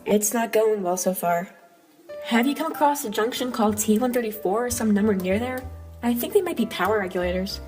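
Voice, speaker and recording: A woman voicing an earnest, determined robot heroine in an amateur comic dub, narrating in a reflective, measured way. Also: mid-range voice, lively intonation, darkish tone, natural voice. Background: clear music bed.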